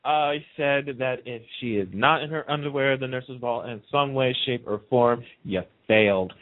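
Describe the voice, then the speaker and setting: A man with a medium-pitched voice hosts a casual fan chat in an agreeable, enthusiastic way. The audio is narrow and thin, like a phone line. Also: normal speed. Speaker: talk show host.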